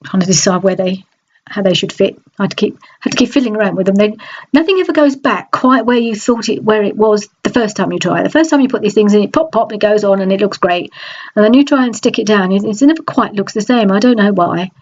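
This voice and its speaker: A woman's voice: medium pitch, fast, a little mellow, muffled recording. Stuffy-nosed woman chatting through a craft. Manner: chatty, hesitant, frequent restarts. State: nasal from a cold. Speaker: scrapbooking crafter. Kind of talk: process video voice-over.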